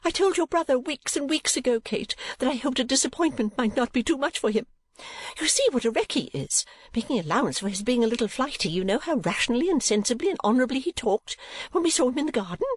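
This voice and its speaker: Fussy, self-important older mother, played by a woman, prim and high-voiced. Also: animated, fast talker, husky, no echo. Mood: distraught. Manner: prim, fussing, self-regarding chatter.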